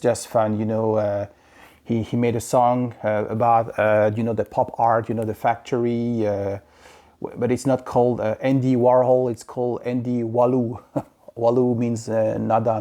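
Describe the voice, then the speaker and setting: A man from France with a French accent, chatting casually about his record purchases in a halting way, full of fillers and restarts. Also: medium pitch, dark tone. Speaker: vinyl collector vlogger. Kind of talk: casual record haul review. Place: home in Quebec.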